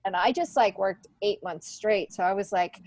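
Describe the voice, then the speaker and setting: A middle-aged American woman chatting casually as an interview guest, talkative and quick to laugh. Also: medium pitch, a little mellow, no echo. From upstate New York, United States. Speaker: podcast guest, stand-up comedian and writer.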